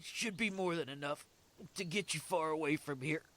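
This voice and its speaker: A man playing a dying young prison guard in an audio drama, selfless and broken, halting with a weak, strained rasp. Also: fairly high pitch, fairly bright tone, lightly acted. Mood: weak, resigned. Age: young adult. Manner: halting, coughing, labored short phrases.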